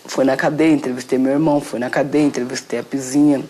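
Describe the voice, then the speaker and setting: A woman giving reflective, halting interview testimony, often restarting her words. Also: a bass voice, steady tone, dark tone. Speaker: interviewee, author. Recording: noisy background.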